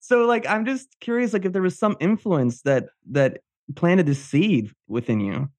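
A man's voice: high voice, lively intonation, a little slow, darkish tone, dry recording. A man from New Jersey hosting a casual interview, informal and curious, rambling into his questions. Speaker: podcast host.

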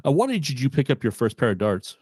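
A man hosting a podcast at a quick pace, asking his guest short, prepared questions. His voice sounds dry and close. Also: mid-range voice, very animated, darkish tone, slightly rough, no echo. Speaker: podcast host. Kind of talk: interview questions.

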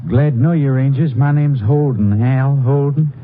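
A man's voice: mid-range voice, moderate pace, lightly acted. A man playing a nervously friendly, evasive small-town gun seller in a 1950s radio drama, folksy, hesitant and over-eager to please. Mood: guarded surprise. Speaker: actor. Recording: tinny, noisy old radio recording.

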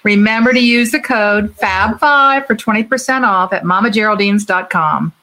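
A woman's voice: mid-range voice, moderate pace, sharp tone. A woman co-hosting a book show in a casual presenting style. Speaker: author and show co-host. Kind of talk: casual hosting with sponsor read.

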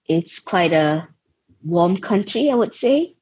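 A woman's voice: fairly low pitch, expressive, slow pace, a little mellow, husky, no echo. A young Malaysian woman with a Malaysian accent, a guest founder answering interview questions thoughtfully and searching for words. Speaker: guest, social enterprise founder. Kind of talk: interview conversation. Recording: dull lo-fi sound.

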